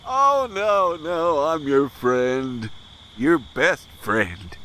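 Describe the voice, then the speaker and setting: A man's voice: fairly high pitch, expressive, pushed and bright, slight background noise, heavily acted. An actor playing a cruel, menacing racist predator in an audio drama, drawling and mocking as he threatens. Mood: creepy false friendliness. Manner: taunting, drawling, slow menace. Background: crickets and night insects.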